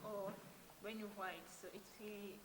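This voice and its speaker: A woman's voice: mid-range voice, level tone, moderate pace. A roomy-sounding Kiswahili-speaking woman vlogger. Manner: earnest, explains by restating points over and over. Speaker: language-learning vlogger. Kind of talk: casual talk to camera. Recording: roomy echo with clear background noise.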